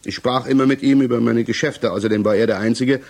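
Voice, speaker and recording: An actor playing a troubled, defensive suspect under interrogation in a German radio play, justifying himself haltingly. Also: male voice, medium pitch, fairly even intonation, gravelly, darkish tone. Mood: troubled, explaining.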